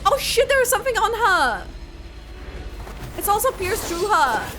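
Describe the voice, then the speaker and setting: A woman streamer giving a casual, exclamatory and expressive reaction to a video game. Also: very high-pitched, measured pace, music in the background.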